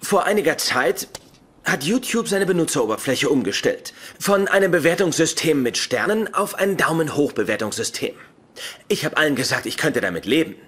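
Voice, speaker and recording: Fussy, lively young sitcom nerd. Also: male voice, a little high, moderate pace, breathy edge, exaggerated voice. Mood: confessing, earnest. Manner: precise, formal, fussy phrasing. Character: pedantic, socially awkward, easily hurt young male nerd. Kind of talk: German-dubbed sitcom dialogue.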